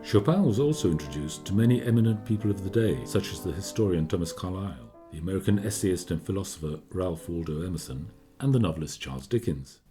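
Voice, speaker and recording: A man playing a confiding, measured storyteller narrating history in a scripted radio play. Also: low voice, lively intonation. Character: discreetly gossiping male narrator recounting a composer's history. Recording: studio radio play with music behind. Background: music.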